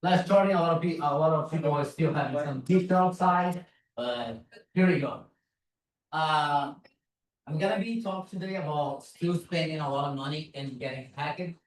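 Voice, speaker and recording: A man's voice: on the high side, normal speed. A man from Brazil with a Brazilian Portuguese accent, giving a casual, self-deprecating conference talk as a security consultant. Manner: casual, self-deprecating, frequent "like" and "you know". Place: large conference room. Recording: echoey hall, voice from far off.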